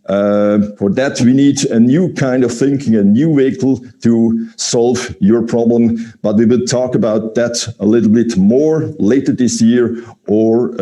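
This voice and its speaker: A man giving a live-streamed business presentation in halting English, full of fillers and searching for words. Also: on the low side, warm tone. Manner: halting, many fillers, non-native phrasing. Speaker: company presenter.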